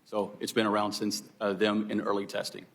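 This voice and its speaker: A man's voice: lowish voice, completely flat delivery, a little fast, vocal fry, husky. A middle-aged man giving formal unscripted testimony as an expert witness, explaining things courteously and step by step. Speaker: air traffic control expert witness.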